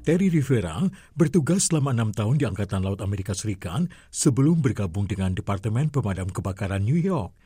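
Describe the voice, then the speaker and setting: Male reporter, husky and dark-toned, scripted report narration, dry recording. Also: on the low side, no room sound.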